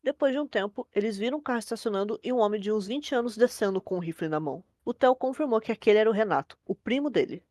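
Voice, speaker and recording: A Brazilian woman serves as narrator of a horror story, keeping it conversational. She talks extremely quickly, and the recording is dry, with no room sound. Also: mid-range voice, expressive, darkish tone, dry recording.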